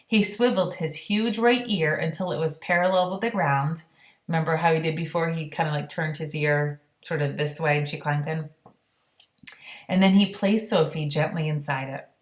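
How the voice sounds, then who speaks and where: A woman reading a children's storybook aloud, with casual, plain-spoken explanations along the way. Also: deep voice. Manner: explains plainly, informal and conversational. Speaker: reader of a children's book. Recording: roomy, echoey sound.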